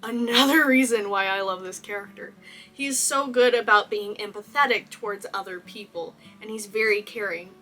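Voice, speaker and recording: A woman's voice: medium pitch, moderate pace, sharp tone, soft background music. A young woman from Texas, a cosplayer, chatting enthusiastically through a casual movie costume analysis and laughing often. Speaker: cosplayer and video host.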